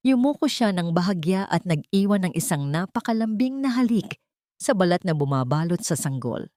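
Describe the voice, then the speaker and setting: Female Filipino-accented storyteller for dramatic scripted narration, lowish register, dry close sound. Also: big pitch swings, moderate pace, dark tone, no room sound.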